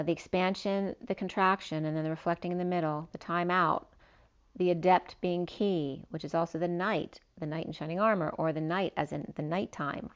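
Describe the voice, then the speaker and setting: Woman, tarot reading, slightly hoarse, rambling card lists, lo-fi muffled sound. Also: sits low. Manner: meandering, listing cards with fillers. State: losing her voice a little. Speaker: tarot reader. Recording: dull lo-fi sound.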